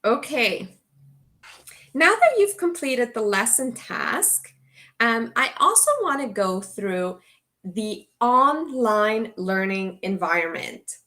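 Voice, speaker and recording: A woman teacher from Guatemala, giving a slow, clear orientation to an online English as a course. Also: a little high, animated, sharp tone. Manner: slow, deliberate delivery for language learners.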